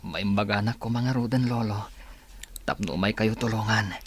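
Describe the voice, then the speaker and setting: A man's voice: on the low side, fairly even intonation, moderate pace, slight background noise, natural voice. A man playing a weary young hospital patient and respectful grandson in an Ilocano radio drama, with an Ilocano accent.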